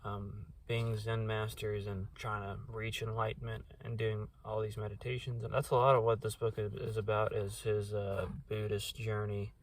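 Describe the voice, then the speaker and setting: A man's voice: lowish voice, level tone, moderate pace, hardly any pauses. A young man giving a casual, rambling book review, full of fillers like "um" and "uh" and restarted sentences. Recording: distant mic with faint background noise.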